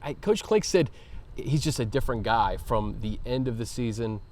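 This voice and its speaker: A man's voice: medium pitch, animated, moderate pace, darkish tone, a little hiss or hum. A man hosting a sports show, talking conversationally about team news. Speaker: sports podcast host.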